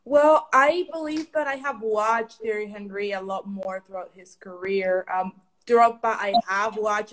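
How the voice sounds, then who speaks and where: A woman's voice: mid-range voice, low-quality recording. A woman from Ecuador, a football consultant, answering interview questions thoughtfully with frequent ums and restarts.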